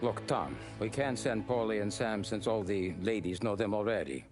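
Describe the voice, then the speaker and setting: An actor playing a calm, businesslike mob adviser laying out a job in a video game cutscene. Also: male voice, fairly low pitch, lightly acted. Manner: measured, businesslike. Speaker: mob consigliere. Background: music score.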